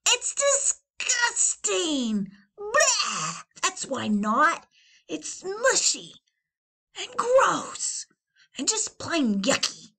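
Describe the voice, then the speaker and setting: A woman's voice: very high-pitched, huge pitch swings, extremely slow, bright, forceful tone, voice pushed up, full character voice. A woman voicing a grumpy, candy-loving male valentine card that runs away, bossy and mocking. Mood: disgusted. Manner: bossy, mocking sing-song taunts.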